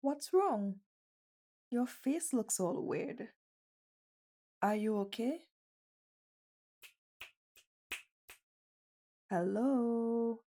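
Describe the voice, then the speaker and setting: A woman's voice: a little high, animated, drawn-out words, dark tone, heavily acted. A woman playing a shy, skittish stray bunny girl in an ASMR roleplay, speaking in a hesitant, easily flustered way. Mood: puzzled, put off.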